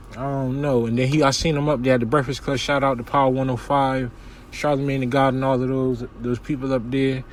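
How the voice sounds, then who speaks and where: A young man from hosting a casual solo podcast from his kitchen, giving news commentary in a relaxed, slangy way with lots of shout-outs. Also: mid-range voice, slight background noise. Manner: laid-back slangy talk with frequent shout-outs. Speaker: podcast host.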